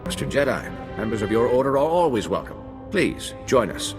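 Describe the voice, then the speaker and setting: A firm, courteous general voiced by a man from a science-fiction game. He speaks formally and evenly paced at a middle pitch, with long reverb and clear music behind. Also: sing-song, close to an everyday voice. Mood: courteous, commanding. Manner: formal, measured.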